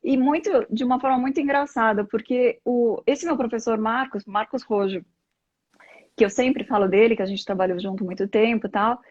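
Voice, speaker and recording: A woman's voice: fairly high pitch, fairly even intonation, moderate pace, a little mellow, no room sound. A middle-aged Brazilian woman talking conversationally as a live interview guest, explaining things reflectively with examples. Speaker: interview guest, yoga practitioner. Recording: dull, lo-fi live stream audio.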